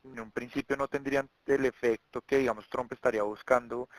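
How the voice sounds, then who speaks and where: A man giving a semi-prepared market briefing as an analyst, speaking haltingly with many filler words and repetitions. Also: mid-range voice, steady tone, no room sound, poor audio. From Colombia. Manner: halting, with frequent verbal tics and repeated words. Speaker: market analyst on an investor conference call.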